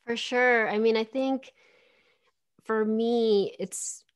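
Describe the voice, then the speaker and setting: A woman's voice: on the high side, steady tone, slow pace, clear, resonant tone. A woman in her forties whose first language is Spanish, talking earnestly and reflectively as a guest on a video call from home. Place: home room with plants and window. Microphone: video call webcam.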